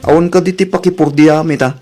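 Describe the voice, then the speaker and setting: A man's voice: fairly high pitch, steady tone, quick pace, music in the background, natural voice. A man playing a suspicious, nagging village husband with an Ilocano accent, questioning and accusatory, in a comedy audio drama.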